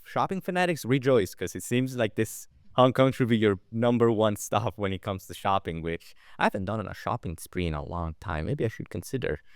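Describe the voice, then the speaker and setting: Deep-voiced relaxed podcast host. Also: male voice, a little fast, hardly any pauses, dry recording, studio quality. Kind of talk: casual interview talk.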